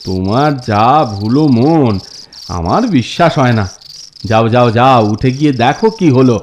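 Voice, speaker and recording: A man voicing an excitable, blustering village husband in a cartoon, exclamatory and easily rattled. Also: mid-range voice, normal speed, a little hiss or hum, close to an everyday voice. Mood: dismissive. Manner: blustering, exclamatory, stammering when scared. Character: excitable, dismissive village husband who turns cowardly. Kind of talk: cartoon voice acting.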